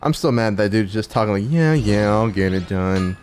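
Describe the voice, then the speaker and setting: A man's voice: on the low side, expressive, moderate pace, a little mellow, a little hiss or hum. A man giving casual, slangy commentary as he plays a video game, with a wry, mocking manner. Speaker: game streamer. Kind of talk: casual commentary over gameplay.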